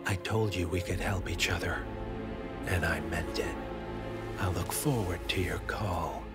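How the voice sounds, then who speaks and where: A man playing a calm, manipulative male power broker in a game cutscene, quietly persuasive. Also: low voice, measured pace, loud music behind the voice.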